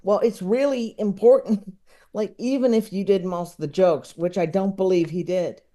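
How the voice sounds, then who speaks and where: A man chatting casually as a podcast guest, talking in a halting, rambling way. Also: sits high, fairly even intonation, mellow. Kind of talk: casual interview chat.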